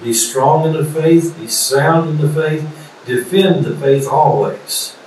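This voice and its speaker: A man's voice: a little high, a little mellow. Echoey older man preaching from a distance. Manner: earnest, exhorting, with deliberate repetition. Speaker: professor and preacher. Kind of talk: sermon, graduation address. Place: indoor study or office, video call. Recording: echoey video call, distant, some noise.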